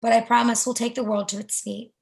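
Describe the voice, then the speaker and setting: A woman's voice: a little high, little pitch movement, brisk, creaky, darkish tone. A woman performing earnest spoken verse with building intensity over a video call.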